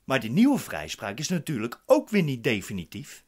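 An actor playing a wary, businesslike accused bank employee in a radio play, formal, curt and questioning. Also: male voice, mid-range voice, huge pitch swings, moderate pace, husky. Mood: wary, reserved.

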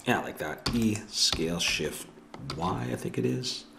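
Man with an ottawa valley accent, very low voice, muttering to himself, faint hiss behind. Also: lively intonation, measured pace, creaky. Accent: Ottawa Valley Canadian. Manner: musing, self-correcting, talks to himself. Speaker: 3D modeling tutor. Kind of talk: thinking aloud while working. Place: home room at a desk.

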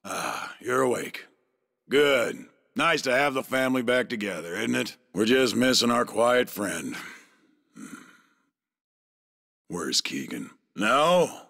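Gruff gravelly soldier bad guy from a shooter game, menacing n bossy, pitch jumps all over, taunting. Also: very animated, takes it slowly, pauses often, fairly bright tone, heavily acted. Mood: sinister, taunting. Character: ruthless gruff male soldier turned vengeful villain. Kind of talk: video game voice acting.

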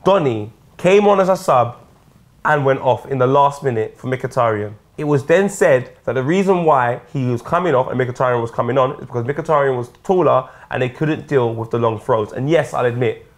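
A man's voice: medium pitch, a little fast. A young man co-hosting a casual football chat show from a studio sofa, giving opinionated, laid-back banter. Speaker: co-host. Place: studio set with sofas.

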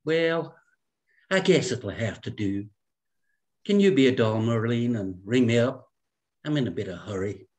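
A man's voice: medium pitch, lively intonation, lightly acted. Snooty small-town matron in her fifties, played by a man, condescending and sugary, for a comic screenplay read. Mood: sneering. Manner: condescending, drawn-out genteel delivery. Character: snobbish, fussy fifty-year-old small-town society woman, falsely sweet. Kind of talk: script table read. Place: indoor room at home, on a video call.

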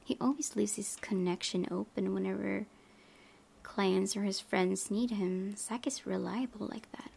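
A woman's voice: medium pitch, fairly even intonation, unhurried, creaky, darkish tone, breathy edge. A woman hosting a gaming video, reading visual novel text aloud with casual asides.

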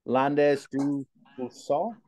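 A man's voice: mid-range voice, slow, warm tone, slight background noise. A man, hosting a casual interview over video call from a small home studio, chatty and rambling. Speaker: host. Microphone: studio mic on boom arm.

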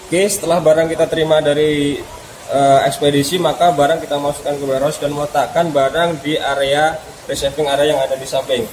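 A man's voice: a little high, level tone, fairly quick, reverberant, background noise. A man methodically walking through warehouse procedures step by step, with frequent hesitations. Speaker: warehouse staff demonstrating procedures. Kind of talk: instructional workplace walkthrough.

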